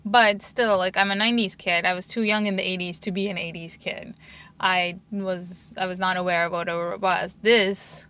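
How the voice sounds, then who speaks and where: A woman in her forties from Turkey co-hosts a relaxed podcast chat. Her voice is bright and forward, and she rambles warmly through memories with frequent "like" fillers. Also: on the low side, moderate pace. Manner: chatty, many "like" fillers, animated. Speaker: podcast co-host. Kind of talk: casual chat.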